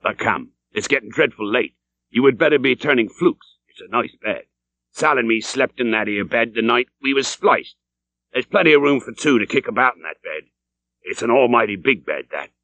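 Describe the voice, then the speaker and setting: A sly old innkeeper with a folksy seafaring drawl, put on by a man narrating, pitched a little higher than the storyteller and swinging up and down as he teases. the recording is dry and close, with no room sound. the voice is fairly low overall, old-fashioned and chuckling in manner. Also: lively intonation, normal speed, a bit bright, dry recording. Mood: sly teasing. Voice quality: rough seafaring dialect drawl.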